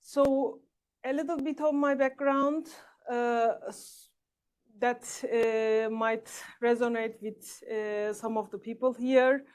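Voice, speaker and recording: Panelist woman from Turkey, fairly high voice, friendly and halting, live event stage. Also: fairly even intonation, a little mellow. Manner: friendly, many filler pauses. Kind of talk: panel introduction. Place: event stage in a large hall.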